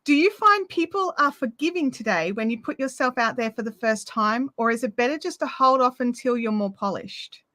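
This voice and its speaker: A woman's voice: on the high side, lively intonation, normal speed, few pauses, sharp tone, no echo, studio quality. A woman hosting an interview, chatting casually and often chiming in with short agreements. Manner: frequent short agreements, conversational. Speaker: host. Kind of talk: interview host chat.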